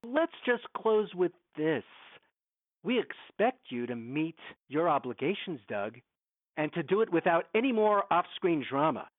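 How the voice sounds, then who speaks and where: An actor playing a domineering, evasive film studio executive in an audio drama, condescending and clipped, heard over a thin phone line. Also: male voice, fairly high pitch, sing-song, a little slow, no room sound. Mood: stern, commanding. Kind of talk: modern audio drama acting.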